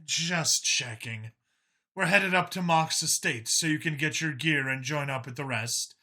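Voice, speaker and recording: A man voicing a concerned, practical woman officer with a measured, direct manner in an audiobook story. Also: fairly high pitch, bright, forceful tone, heavily acted. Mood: businesslike.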